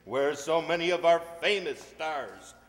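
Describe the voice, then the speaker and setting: Showy bus tour guide played by an older man, high-voiced, drawn-out declamatory patter, radio comedy in an echoing hall. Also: animated. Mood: showy, announcing. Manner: declamatory, deliberate. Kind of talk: old-time radio comedy acting, read from script. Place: stage in a large hall. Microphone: stand microphone. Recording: echoey hall stage performance.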